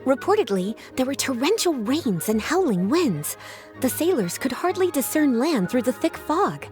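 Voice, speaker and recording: A flirtatious librarian in a video game. Her voice is high and very expressive, rising and falling freely. She is composed, articulate and teasing, with faint music behind her. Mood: knowing, mysterious. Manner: teasing endearments, measured and articulate. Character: flirtatious, composed, bookish adult female librarian. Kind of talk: animated video game character acting.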